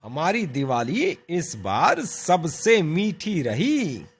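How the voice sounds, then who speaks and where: A man acting as a mild Indian husband in a kids' cartoon story. His voice is husky and fairly high for a man. He talks slowly, with very expressive swings in pitch. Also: exaggerated voice. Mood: pleased. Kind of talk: animated moral story dialogue in Hindi.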